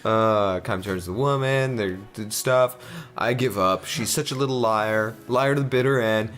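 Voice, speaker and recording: A man's voice: on the low side, moderate pace, a bit bright, soft background music. A man hosting a let's play, reading game text aloud in a joking, hammy way and prone to giggles. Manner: playful, hammy, breaks into giggles.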